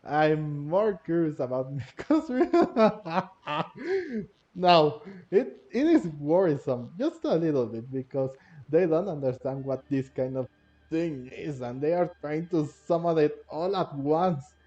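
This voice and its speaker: A man giving casual game playthrough commentary in a halting, repetitive, giggly way, on a lo-fi mic. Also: a little high, expressive, darkish tone. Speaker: gaming video host. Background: faint game music.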